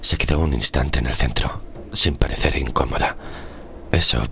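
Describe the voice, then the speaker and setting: A man's voice: rumbling low, moderate pace, vocal fry, bright, forceful tone, slight background noise, full character voice. A man playing a weary, stubborn sixteenth-century sailor in an audio horror drama, curt and sardonic in archaic formal speech. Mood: weary, brooding. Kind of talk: audio horror drama with first-person narration.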